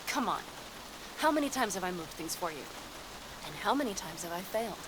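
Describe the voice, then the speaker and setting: A blunt, sarcastic nomad smuggler. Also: female voice, medium pitch, background noise. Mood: pleading. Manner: curt, sarcastic, guarded. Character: tough nomad woman smuggler, blunt and proud. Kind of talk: video game cutscene acting.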